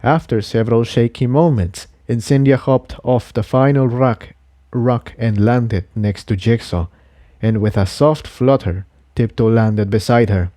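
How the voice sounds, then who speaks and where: Slow, mellow man narrating a story. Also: medium pitch, no room sound, studio quality. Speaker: audiobook reader of fan fiction.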